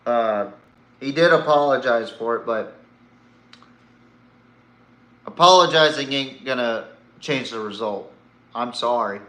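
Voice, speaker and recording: A man's voice: mid-range voice, measured pace, fairly bright tone, roomy sound. A man hosting a sports podcast, giving casual, opinionated commentary in a blunt way, swearing and throwing out rhetorical questions.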